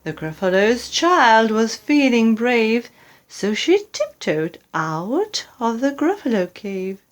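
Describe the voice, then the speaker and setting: A woman reading a children's story aloud as narrator, with dramatic and deliberate phrasing. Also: on the high side, sing-song. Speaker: storyteller, audiobook reader.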